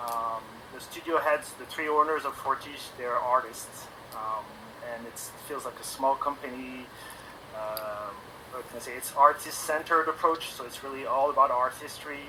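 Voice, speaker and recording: French guy, 40s, english not his first language, lots of ums and restarts, mid pitch, hissy stream audio. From France. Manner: hesitant, many ums and restarts. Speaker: animation guest on a stream. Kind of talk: casual interview talk.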